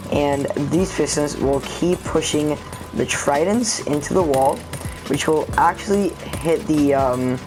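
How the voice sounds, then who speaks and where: A man with a cold talks through a game build step by step in a relaxed, plain way, counting out blocks as he goes. His voice sounds slightly off from the illness. Clear background music runs beneath him. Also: a little high. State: sick, voice sounds off. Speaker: game tutorial creator. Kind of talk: casual step-by-step game tutorial.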